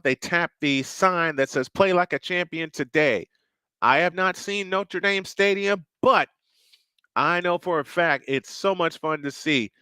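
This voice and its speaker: A man's voice: high-pitched, bright, forceful tone, smooth, dry recording. A man hosting a live sports stream, talking to viewers in a chatty, earnest way and calling the teams as they take the field. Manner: chatty, earnest, sometimes restarts phrases. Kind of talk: live play-by-play style commentary.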